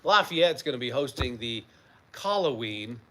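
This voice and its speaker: A man co-hosting a live morning TV show from the studio, reading news items and trading dry, deadpan comebacks. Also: mid-range voice, a little slow, noisy background. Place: TV studio during a live broadcast.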